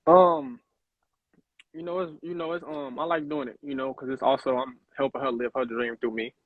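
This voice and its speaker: A young man from Chicago chats as an interview guest in a relaxed, rambling way. His voice carries a gravelly fry, and it comes through a tinny, phone-like line. The sound is dry and close. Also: mid-range voice, moderate pace, dry recording. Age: young adult. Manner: laid-back, rambling with many fillers. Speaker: actor guest. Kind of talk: casual interview chat.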